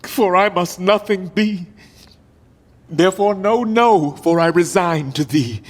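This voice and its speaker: A man acting a deposed English king in Shakespearean verse, grieving and bitterly ironic, with a theatrical, deliberate delivery. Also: high-pitched. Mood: solemn renunciation. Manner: theatrical, deliberate, swinging from hushed to declaiming. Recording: long reverberant hall.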